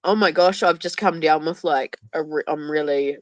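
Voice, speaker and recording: A woman's voice: low-pitched, moderate pace, dry recording. A woman hosting a casual livestream chat with a stuffy nose, joking and laughing easily. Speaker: livestream host.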